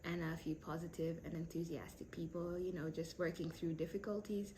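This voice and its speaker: A woman's voice: on the low side, flat delivery, brisk, warm tone, a little hiss or hum, rough recording. A young woman from South Sudan, raised in Kenya, answering interview questions thoughtfully with many fillers and restarts. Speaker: PhD candidate.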